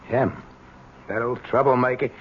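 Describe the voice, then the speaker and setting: An American actor playing a weary, stubborn old ex-convict in a radio drama, speaking in blunt slang. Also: male voice, mid-range voice, level tone, a little slow, close to an everyday voice. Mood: knowing, weary. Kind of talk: 1970s radio drama acting. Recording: dull lo-fi old radio, background hiss.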